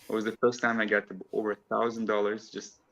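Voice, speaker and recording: A man's voice: fairly low pitch, completely flat delivery, quick pace, fairly bright tone. A man from Croatia, a guest on a casual online chat, talking in a chatty way and laughing often as he explains.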